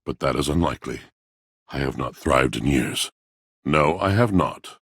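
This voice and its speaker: An actor playing a proud, melancholy, antiquated washing machine in a video game, speaking in a theatrical, formal, brooding way. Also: male voice, lively intonation, moderate pace, fairly bright tone, no room sound, clean studio sound, exaggerated voice. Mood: reserved, melancholy. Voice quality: very deep, husky. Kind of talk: video game character voice acting.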